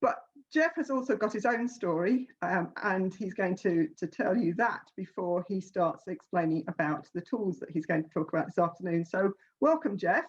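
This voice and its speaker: A woman's voice: lowish voice, lively intonation, moderate pace, few pauses, muffled recording. A woman hosting an online workshop over a video call, friendly, with fillers and restarts. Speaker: workshop host.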